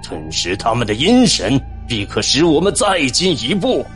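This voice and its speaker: Man acting out a vengeful ghost, lively pitch. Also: normal speed, faint music behind. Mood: furious, bloodthirsty. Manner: vengeful, fiercely exclaiming. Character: enraged bloodthirsty male ghost of the underworld. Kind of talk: dramatised fantasy audiobook acting.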